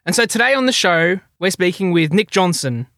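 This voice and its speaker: A man's voice: high voice, lively intonation, moderate pace, fairly bright tone, no echo, studio quality. A man hosting a health technology podcast, upbeat and chatty as he introduces his guest. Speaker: podcast host. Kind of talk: podcast interview.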